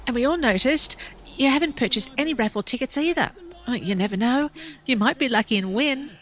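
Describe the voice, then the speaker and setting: A woman's voice: high voice, a little fast, a bit bright, poor audio. A woman playing a pushy, gossipy village busybody in a radio drama. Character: busybody village woman organising the fete raffle.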